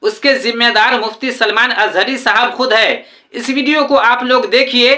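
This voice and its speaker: A woman narrator with a fairly high, bright and forceful voice. She delivers scripted explanation as a video presenter. The recording has a clearly roomy echo. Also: normal speed.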